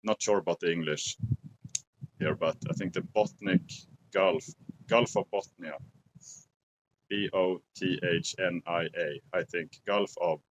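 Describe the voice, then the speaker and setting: Slow, deep-voiced Swedish man outdoors. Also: fairly even intonation, highs cut off, a little hiss or hum. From Sweden. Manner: slow, thoughtful, searching for English words. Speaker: outdoor vlogger. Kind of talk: casual talk to camera. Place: forest outdoors at dusk.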